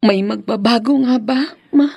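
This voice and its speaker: A woman's voice: on the high side, moderate pace, vocal fry, mellow, husky, dry recording, studio quality. A woman playing a dependent, long-suffering young wife and mother in a Filipino radio drama. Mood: sad, doubting.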